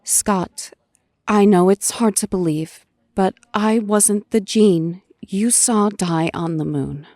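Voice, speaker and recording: Slow-spoken, earnest heroine. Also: female voice, mid-range voice, mellow, no echo, clean studio sound. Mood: gentle, earnest. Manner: earnest, deliberate, emotional. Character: Jean, earnest, pleading resurrected female superhero. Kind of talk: audio drama acting.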